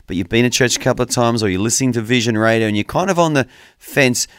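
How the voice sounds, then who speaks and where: A man's voice: mid-range voice, quick pace, smooth, no echo, clean studio sound. Earnest man preaching over radio. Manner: earnest, preacherly, addresses co-host by name. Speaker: radio host. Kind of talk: conversational Bible teaching on radio.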